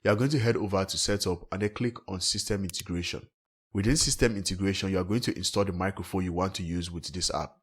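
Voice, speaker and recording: Deep, husky man narrating a tutorial. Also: fast. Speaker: tech tutorial host. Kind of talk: scripted step-by-step software tutorial narration.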